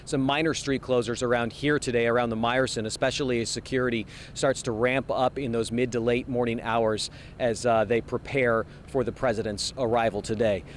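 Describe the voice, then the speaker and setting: A man's voice: steady tone, brisk. A man reporting live as a television news field reporter from outdoors on a city street. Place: outdoors on a city street outside an event venue. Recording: outdoor street noise, traffic rumble.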